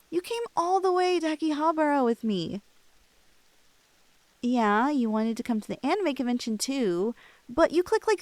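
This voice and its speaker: A young woman playing a shy, lovestruck cosplayer in an intimate ASMR roleplay, with girlish slangy speech and a halting, bashful manner, close on the mic. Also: fairly high pitch, dry recording. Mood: bubbly, flattered. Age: young adult. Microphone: close, dry studio-style microphone.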